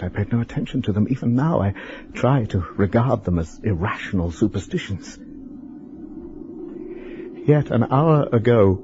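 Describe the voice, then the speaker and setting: An actor playing a frightened, educated man in a radio horror drama, speaking formally and haltingly as he writes a letter. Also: fairly low pitch, natural voice. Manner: formal, measured, halting delivery with weighted pauses. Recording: dull, lo-fi, noisy radio drama recording.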